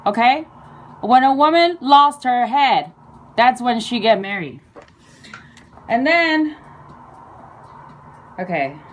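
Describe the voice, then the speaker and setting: Slow, halting woman, Chinese native. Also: fairly high pitch, sing-song, slight background noise. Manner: slow, halting, playful, with fillers and self-corrections. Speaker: video creator. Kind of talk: casual explainer lesson.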